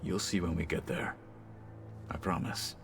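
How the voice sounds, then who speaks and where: A stern, proud warrior of a tribe speaks in a deep voice. His phrasing is formal, clipped and solemn, in the dramatic manner of a video game, with music playing behind him. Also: steady tone, a little slow, creaky. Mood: resolute, courteous.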